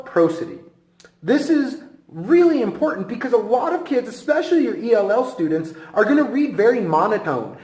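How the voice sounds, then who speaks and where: A man's voice: high voice, a little mellow. A man giving an instructional lesson as a teacher, speaking emphatically and encouragingly straight to the viewer. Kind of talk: instructional presentation. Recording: echoey room.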